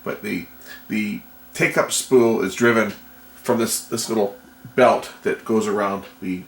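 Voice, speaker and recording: A man giving casual hands-on repair commentary, thinking aloud in a tentative, unhurried way. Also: sits low, fairly bright tone. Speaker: repair vlogger. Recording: noticeable background noise.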